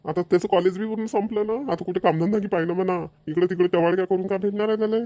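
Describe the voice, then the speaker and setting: A man's voice: high voice, fairly even intonation, racing, mellow, dry recording, highs cut off, close to an everyday voice. A man voicing a grumbling village father. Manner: rural Marathi dialect, grumbling, repetitive. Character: middle-aged village father, grumbling, scolding idle son. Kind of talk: cartoon voice acting.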